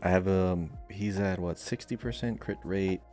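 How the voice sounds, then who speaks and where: A man's voice: deep voice, steady tone, moderate pace, dark tone, faint music behind. A man co-hosting a podcast, chatting casually about gaming. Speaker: podcast co-host.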